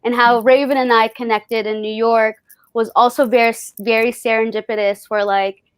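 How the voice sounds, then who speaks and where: A woman speaking casually and reflectively in an interview, telling personal stories in an expressive way. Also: on the high side, normal speed, smooth, dry recording. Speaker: festival artist being interviewed.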